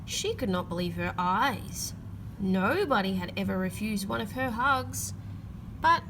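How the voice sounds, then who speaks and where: A woman reading a children's picture book aloud, with expressive emphasis and drawn-out key words. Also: medium pitch, a little hiss or hum, low-quality recording. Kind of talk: read-aloud storytelling for children.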